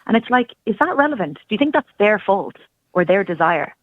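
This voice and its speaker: An Irish woman speaks with earnest enthusiasm, her thoughts flowing in long, unbroken runs. She comes through a narrow, tinny phone line with a dry, close sound. Her pitch sits in a middling range. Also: very animated, fairly quick, no room sound. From Ireland. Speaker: guest, Irish language teacher. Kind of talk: casual radio interview.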